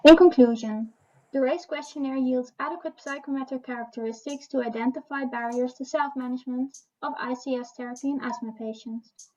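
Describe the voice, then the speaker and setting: A woman giving a formal research presentation in a steady reading voice. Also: sits high, muffled recording.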